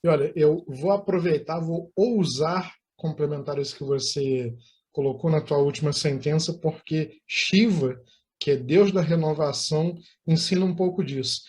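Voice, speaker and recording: A man's voice: fairly high pitch, moderate pace, a little mellow, slightly rough. A man with a Brazilian accent hosting an interview in formal, florid phrasing. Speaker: interview host.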